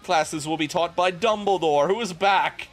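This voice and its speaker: Man putting on a pompous, high-pitched school official. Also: moderate pace, faint music behind, lightly acted. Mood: officious.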